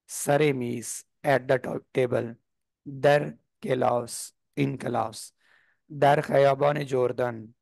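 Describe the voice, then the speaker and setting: A man with a sore throat teaching a language class over a video call, explaining slowly and clearing his throat often. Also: mid-range voice, no echo. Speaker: Persian teacher. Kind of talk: online class lesson.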